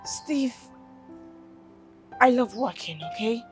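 An actress with a Nigerian accent playing a young house help, polite and hesitant, in a naturalistic screen drama. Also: female voice, fairly high pitch, lively intonation, takes it slowly, soft background music. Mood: reluctant.

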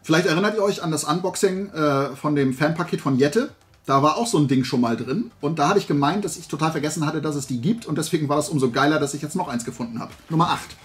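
Chatty middle-aged man, higher-pitched voice. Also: fast. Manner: chatty, rambling, enthusiastic. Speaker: YouTube host and collector. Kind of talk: casual haul vlog chat. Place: indoor room full of shelved toys.

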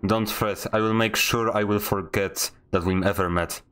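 A man from Poland, streaming a game in casual voice chat and teasing others in a dry, deadpan way. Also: lowish voice, normal speed.

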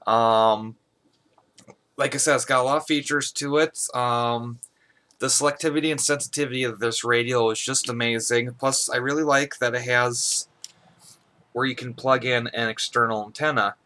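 A man's voice: mid-range voice, steady tone, normal speed, bright, forceful tone. A young man chatting casually and a little hesitantly as he gives an informal hobbyist review, recorded in a small room. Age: young adult. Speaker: hobbyist video host. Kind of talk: casual product review chat. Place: small bedroom indoors.

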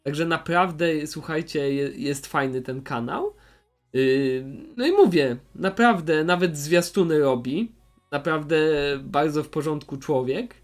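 A man's voice: a little high, expressive, measured pace, soft background music. A young man giving casual, unscripted YouTube commentary in a hesitant, rambling, repetitive way. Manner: hesitant, rambling, repeats himself. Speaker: YouTuber presenting recommended channels.

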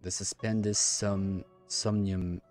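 A man in his thirties reacting to a game as a streamer, musing haltingly and repeating words as he reads aloud. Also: low-pitched, flat delivery, darkish tone, faint music behind. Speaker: gaming streamer. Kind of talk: live game reaction commentary, reading text aloud.

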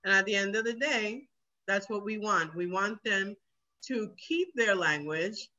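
An earnest woman teacher explains her work in a very bright, sharp voice, often repeating words to drive a point home. The sound is dull and lo-fi, like audio from a video call. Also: medium pitch, unhurried. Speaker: middle school ESOL teacher. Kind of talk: interview answer, explanatory.

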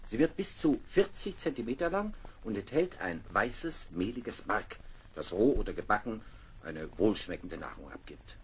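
Gentleman botanist, very lively intonation, sociable and expansive, faint noise behind. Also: fairly low pitch, moderate pace. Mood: enthusiastic, expository. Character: sociable, enthusiastic gentleman botanist aboard ship.

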